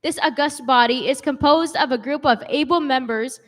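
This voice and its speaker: A woman's voice: high-pitched, moderate pace, close to an everyday voice. A woman playing a composed, wry schoolteacher in a comic radio play, speaking in a politely placating way. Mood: flustered, formal. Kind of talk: old-time radio comedy acting.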